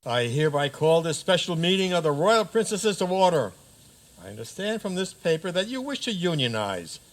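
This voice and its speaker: A man's voice: a little high, very animated, moderate pace. An actor playing a pompous, easily sidetracked fairy tale king in a formal, declamatory community radio play. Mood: officious. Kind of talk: community radio theater acting. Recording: faint background noise.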